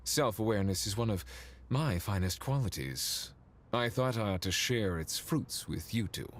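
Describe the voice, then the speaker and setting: An actor playing a refined, dry and haughty ancient sage in a video game, speaking in a measured, eloquent way. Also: male voice, fairly low pitch, animated, fairly bright tone. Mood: dry, amused. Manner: measured, eloquent, dryly condescending. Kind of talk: game voice acting.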